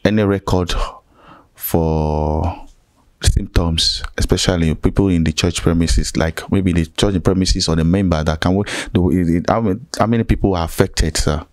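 Interviewer: Nigerian man, thirties. Low-pitched, hesitant, respectful to his guest, close dry handheld mic. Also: steady tone, normal speed, no echo. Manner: deferential, hesitant. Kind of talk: on-location interview questions. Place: small furnished room indoors, on a sofa. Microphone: handheld interview microphone.

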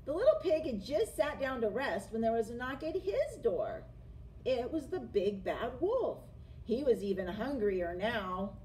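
A woman's voice: fairly high pitch, big pitch swings, measured pace, dark tone, low-quality recording. A middle-aged woman reading a picture book expressively to children in a classroom. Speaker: storyteller, teacher. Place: small classroom, indoors. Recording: clear background room noise.

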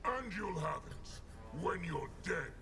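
A man's voice: high voice, sing-song, takes it slowly, exaggerated voice. A man playing a grandiose, contemptuous sorcerer villain in a video game, theatrical and menacing. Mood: pompous, contemptuous. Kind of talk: video game character banter. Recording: game audio with loud music.

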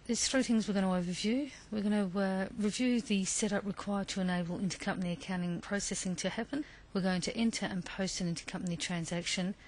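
A woman giving instructional narration as the presenter of a business software demo. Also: lowish voice, rough recording.

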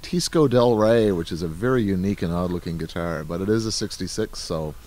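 A man's voice: low-pitched, a little fast, a little mellow. A man who has just turned fifty, co-hosting a casual podcast and adding dry, joking asides. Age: 50s. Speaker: podcast co-host. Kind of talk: casual chat.

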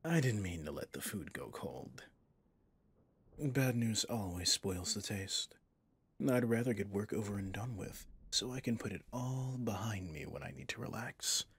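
A man playing a possessive villain boss in an intimate ASMR roleplay, speaking formally and commandingly yet indulgently, in a husky, breathy voice. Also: fairly low pitch, lively intonation, measured pace, exaggerated voice. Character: smooth possessive male villain boss, doting on favorite servant.

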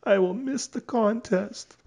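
A man voicing an excitable young critter boy in a storybook, with an expressive, exclaiming delivery. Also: high-pitched, measured pace, gravelly, pitched above the performer's own voice, full character voice. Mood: upset.

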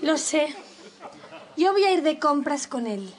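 A squealing bubbly flatmate. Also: female voice, high voice, measured pace, crowd noise, noisy background. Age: young adult. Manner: excitable, exaggerated, squealing. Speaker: actress playing Lola, a bubbly flatmate. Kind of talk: slow, clear sitcom acting for learners.

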